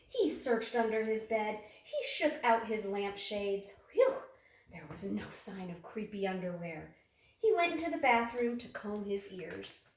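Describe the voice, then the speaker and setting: A woman reading a children's picture book aloud in an expressive, dramatic way, putting questions to young listeners. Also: medium pitch, recorded from a distance. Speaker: storybook reader. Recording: roomy echo, off the mic.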